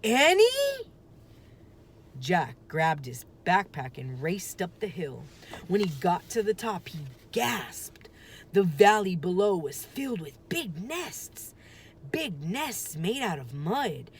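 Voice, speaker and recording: A woman's voice: lowish voice, lively intonation, takes it slowly, slightly rough. A woman reading a children's storybook aloud as a teacher would, narrating the story. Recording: faint background noise.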